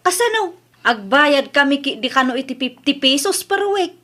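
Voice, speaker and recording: Grumbling village mother female performer, Ilocano accent, high voice, reluctant temper, homely comedy-drama. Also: expressive, normal speed, sharp tone.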